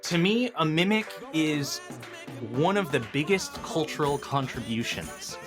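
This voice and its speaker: Lively-pitched man chatting thoughtfully about games. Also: unhurried, over music. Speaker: podcast guest, game designer and writer. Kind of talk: casual discussion of games.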